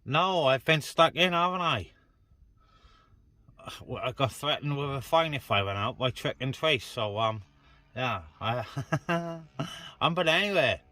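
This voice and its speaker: A man's voice: mid-range voice, lively intonation, a little slow, bright, forceful tone, no echo. A man chatting casually on CB radio, hosting an open call for other stations. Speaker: CB radio operator hosting a net. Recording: very noisy CB radio hiss and static.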